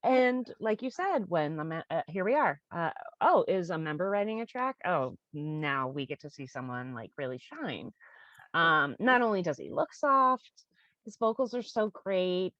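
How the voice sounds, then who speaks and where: A woman's voice: on the low side, very animated, moderate pace, fairly bright tone, dry recording, highs cut off. A woman co-hosting a music reaction video, chatting casually and gushing with delighted groans. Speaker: co-host of a reaction channel.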